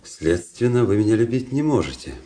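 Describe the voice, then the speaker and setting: An actor playing a cold, proud young Russian officer in a radio drama, speaking in a measured, formal, restrained way. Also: male voice, lowish voice, very animated, mellow. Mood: cold, resolute.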